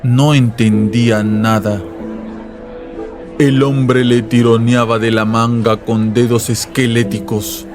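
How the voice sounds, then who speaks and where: A man's voice: medium pitch, level tone, slow pace. A man narrating a scripted, dramatized story in a literary radio-drama style. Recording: voice over music and crowd noise. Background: music and crowd sounds.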